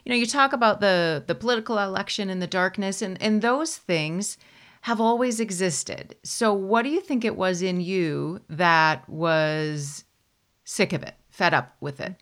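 A woman's voice: medium pitch, a little slow, a bit bright. A woman with a Wisconsin accent, interviewing a guest with friendly, probing questions. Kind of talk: casual interview chat.

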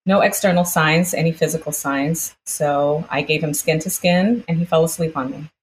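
A woman with a low voice recounts a personal experience as an interview guest. She talks conversationally and slips into quoted dialogue as she retells it. There is faint background ambience in the recording. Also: steady tone, normal speed, slight background noise, low-quality recording. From United States, born in Brazil.

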